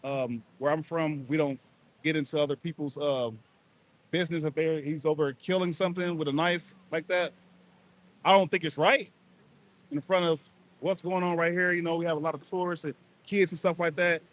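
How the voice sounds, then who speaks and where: A man from Ohio chatting casually with a street interviewer outdoors, rambling and hesitant with many pauses. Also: fairly high pitch, steady tone. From Ohio, United States. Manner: hesitant, rambling, lots of ums. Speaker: passerby being interviewed. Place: outdoors at a beach.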